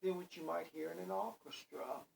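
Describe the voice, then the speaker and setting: A man's voice: sits high, steady tone, normal speed, creaky, a little mellow. A man in his sixties giving a casual guitar lesson, talking in a rambling, folksy way with many fillers. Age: 60s. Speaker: guitar teacher. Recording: voice a bit far from the mic, faint background noise.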